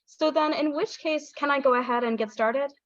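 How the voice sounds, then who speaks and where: A woman's voice: high voice, moderate pace, no echo. A young woman with a Southern US accent gives an online workshop talk over a video call, chatty and apologetic with frequent ums. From Southern United States. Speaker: guest speaker, English teaching assistant. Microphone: video call on phone or computer. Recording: dull, dry video call audio.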